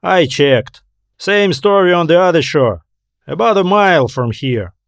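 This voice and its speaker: A breezy castaway man, as a male narrator plays him in a sci-fi audiobook. He talks in a wry, slangy, offhand way, with his pitch lifted. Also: lively intonation, unhurried, creaky, no echo, studio quality. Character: Lucas, breezy sardonic tall castaway man. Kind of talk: audiobook character dialogue.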